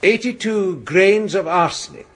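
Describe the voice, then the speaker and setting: An actor playing a precise, fussy chemistry professor giving expert evidence in a period courtroom radio drama, with a husky voice. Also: male voice, high-pitched, measured pace. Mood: assured, exacting. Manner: pedantic, certain.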